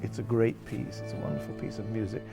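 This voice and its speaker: A man with a gravelly voice speaks reflectively in an interview, thoughtful and halting as he searches for his words. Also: lowish voice, flat delivery, loud music behind the voice.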